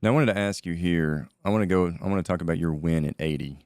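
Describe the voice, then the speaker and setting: A man's voice: low-pitched, quick pace, a little mellow, smooth, no room sound, clean studio sound. A man hosting a casual interview, asking questions and adding short encouraging remarks. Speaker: podcast host.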